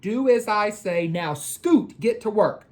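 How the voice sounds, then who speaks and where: A woman's voice: mid-range voice, lively intonation, takes it slowly, darkish tone, pitched above the performer's own voice. A woman reading a storybook, voicing a strict, no-nonsense mother who gives firm orders. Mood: stern, commanding. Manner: firm, emphatic commands. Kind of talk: storybook read-aloud character voice.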